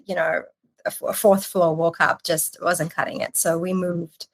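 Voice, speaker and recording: A woman in her forties, from Iran, telling her story as a podcast guest, lively and quick to restart her sentences. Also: lowish voice. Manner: animated storytelling with restarts and casual swearing. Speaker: podcast guest, agency creative chief. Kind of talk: casual interview chat.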